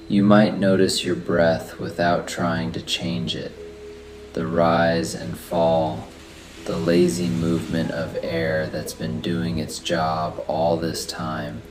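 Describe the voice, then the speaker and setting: A man narrating a guided sleep meditation in a soft, soothing, unhurried manner. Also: extremely low pitch. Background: steady rain sounds and soft background music.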